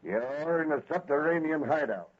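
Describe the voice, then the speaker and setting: An actor playing a smug masked villain, gloating with mock courtesy in 1940s radio style. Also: male voice, fairly high pitch, animated, normal speed. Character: smug masked male villain in secret hideout. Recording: tinny old radio recording.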